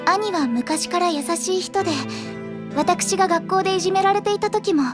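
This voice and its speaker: A woman's voice: very high voice, normal speed. A woman playing a timid, polite young schoolgirl in an audio drama, hesitant and apologetic. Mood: earnest, wistful. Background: background music.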